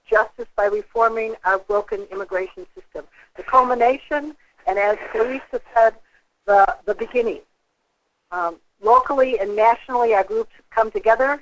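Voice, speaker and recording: Halting American woman on a conference call. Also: on the high side, fairly bright tone, poor audio. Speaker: community organization leader. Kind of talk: prepared speech on a conference call. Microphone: telephone. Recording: thin telephone conference line.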